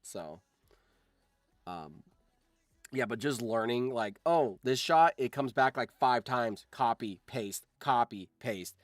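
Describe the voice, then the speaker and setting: A man talks off the cuff on a livestream in a mid-pitched voice, recorded dry and close. He rambles from one thought into the next in an easygoing chat style. Swearing slips freely into his speech. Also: lively intonation, slow pace, no room sound, soft background music. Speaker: streamer and video editor chatting with viewers.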